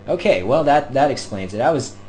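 A young man giving excited live gameplay commentary, shouting during fights and talking himself through moves, his voice going hoarse. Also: medium pitch, a little fast, a little hiss or hum. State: losing his voice.

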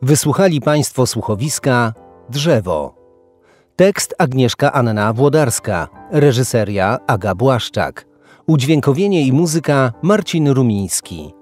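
Male announcer, scripted credits, animated melody, medium pitch, faint music. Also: a little slow.

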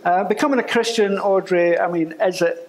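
A man's voice: high-pitched, fairly quick, crowd noise. A jovial, teasing man in his sixties hosting a casual live interview on a church stage.

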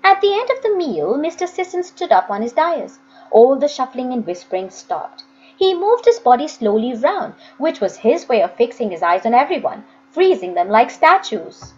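A woman reading a story aloud as a storyteller, with deliberate, dramatic phrasing. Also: sits high, sing-song, darkish tone, muffled recording, a little hiss or hum. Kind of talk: expressive scripted audiobook reading.

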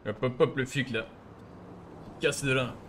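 A man's voice: mid-range voice, steady tone, a little slow, background noise. A man improvising casual game roleplay as a crime boss, bossy and slangy, with swearing.

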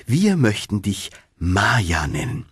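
A man narrating a fairy tale in an expressive storytelling manner, as for an audiobook. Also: on the low side, takes it slowly, breathy edge, no room sound, studio quality.

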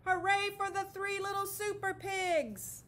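A woman's voice: extremely high pitch, steady tone, measured pace, fairly bright tone. A middle-aged woman teacher reading a picture book aloud expressively to children, recorded in a classroom. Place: classroom, indoors.

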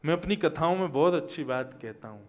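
A man's voice: a little high, lively intonation, a little fast. A man, giving a conversational devotional discourse full of rhetorical questions and anecdotes. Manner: conversational, asking rhetorical questions and answering them. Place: stage of a religious event, seated at a lectern. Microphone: gooseneck podium microphones.

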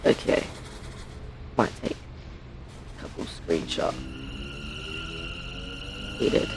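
A man's voice: very slow, over music. A young man from with a blocked, stuffy nose, chatting offhandedly while playing a train simulator. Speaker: game streamer. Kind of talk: casual gameplay commentary.

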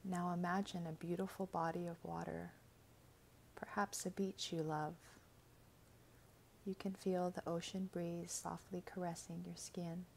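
Soft soothing woman doing a guided relaxation script, lots of vocal fry, bit of low rumble behind. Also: flat delivery, low-quality recording. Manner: gentle, soothing, measured delivery with pauses. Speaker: guided meditation narrator. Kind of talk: scripted guided imagery meditation.

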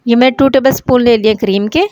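A woman with a Pakistani Urdu accent, hosting a home cooking channel, calmly explaining each recipe step in a voice-over. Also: fairly high pitch, brisk, dry recording, clean studio sound. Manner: calm, repeats each step.

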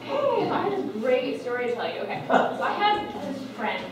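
A woman's voice: high-pitched, wildly swooping pitch, normal speed, heavy background noise. A teenage young woman telling an improvised comic story on stage. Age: teens. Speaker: improv performer. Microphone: distant camera microphone. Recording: echoey distant recording, loud audience.

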